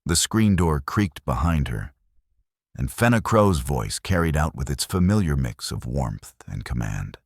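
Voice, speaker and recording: Very deep-voiced male Western storyteller. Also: big pitch swings, unhurried, slightly rough, no room sound, studio quality. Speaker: storyteller, narrator. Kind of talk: scripted dramatic Western story narration.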